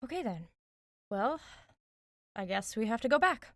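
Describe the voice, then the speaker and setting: A woman's voice: on the high side, very animated, moderate pace, a bit bright, dry recording. An actress playing a practical, sardonic young woman on the run in an audio drama, with naturalistic delivery and a dry manner. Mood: serious, decisive. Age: young adult. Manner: dry, sarcastic.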